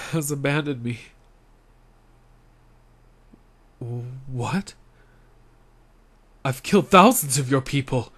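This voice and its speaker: A man's voice: medium pitch, a bit bright. A man voicing a grim, haughty villain warrior in a menacing, theatrical way for a video game. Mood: grave, bitter. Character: grim, haughty male villain warrior serving dark lord. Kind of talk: video game character acting.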